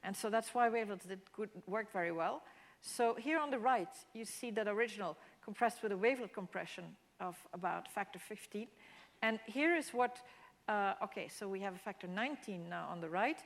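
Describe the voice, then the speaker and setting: A woman's voice: mid-range voice, lively intonation, a little fast. Dutch-speaking woman lecturing in a reverberant hall. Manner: explanatory, many restarts and fillers. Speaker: mathematics lecturer. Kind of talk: public lecture with slides.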